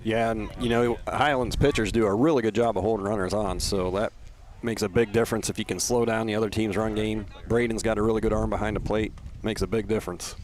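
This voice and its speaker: A man's voice: fairly low pitch, fairly even intonation, fast talker, a little mellow, a little hiss or hum. A man giving casual baseball analysis as a color commentator on a radio broadcast.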